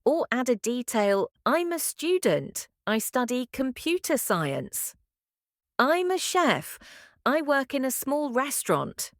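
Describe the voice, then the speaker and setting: Female podcast teacher, clear friendly delivery, animated pitch, very unhurried. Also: no echo, studio quality. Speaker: podcast co-host and English teacher. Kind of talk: scripted English lesson dialogue.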